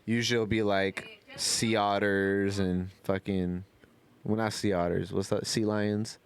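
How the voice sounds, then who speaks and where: A man's voice: on the low side, little pitch movement, unhurried, smooth. A man talking in a casual, rambling podcast style, full of slang and cursing. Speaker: podcast co-host.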